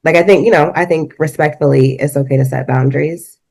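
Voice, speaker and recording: A woman co-host on a podcast who rambles through her points in quick bursts, full of fillers and asides. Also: deep voice, fairly even intonation, creaky, darkish tone. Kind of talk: casual chat.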